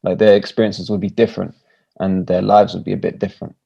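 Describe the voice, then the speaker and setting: A man's voice: low voice, flat delivery, fast talker, creaky, dark tone, husky. A young man with a British accent talking casually as a podcast guest. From UK, born and raised. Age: young adult. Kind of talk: casual self-introduction and discussion. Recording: dull, lo-fi video call audio.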